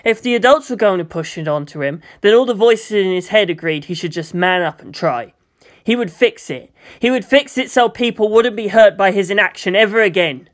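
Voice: medium pitch, animated, a little fast. A narrator reading a story aloud in a dramatic, scripted style. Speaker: audiobook narrator.

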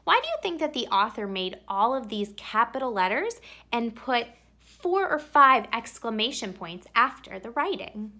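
A woman reading a children's picture book aloud expressively, with theatrical emphasis and drawn-out words. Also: on the high side, very animated, bright, forceful tone. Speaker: read-aloud storyteller.

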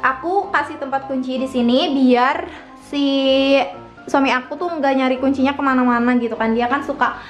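A young woman talks in a fairly high voice. She chats informally to her viewers, explaining as she goes and posing little questions that she answers herself. Music plays behind her. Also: fairly even intonation, normal speed. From Indonesia. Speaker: vlogger giving a home tour. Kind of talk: casual chat to viewers. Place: her own small house, front yard then living room. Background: background music.

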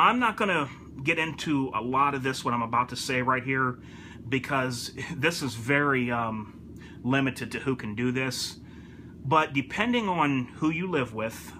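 Bright-voiced man giving a casual gear review. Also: mid-range voice, a little hiss or hum. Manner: informal, repeats points for emphasis. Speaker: gun enthusiast presenter. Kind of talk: casual product review to camera.

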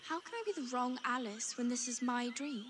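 A young woman with an English accent playing a dreamy, outspoken gentlewoman in a period fantasy film. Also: on the high side, fairly quick, slight background noise, lightly acted. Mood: earnest. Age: young adult.

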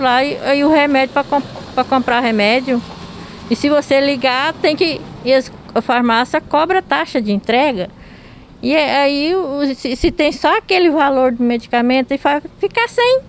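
A Brazilian woman, a local resident, speaking outdoors into a reporter's handheld mic, halting and repeating herself as she complains. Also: high voice, slight background noise, low-quality recording. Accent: Brazilian Portuguese. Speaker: resident at a protest. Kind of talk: street interview. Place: outdoors at a health post door.

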